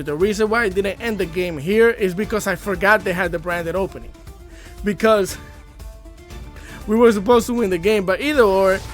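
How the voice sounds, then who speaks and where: A man's voice: high-pitched, fairly bright tone. A man with a stuffy, congested voice giving hype, slangy commentary over card game replays. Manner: hype, slangy trash talk. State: congested from a cold. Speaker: gaming YouTuber. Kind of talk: casual gameplay commentary.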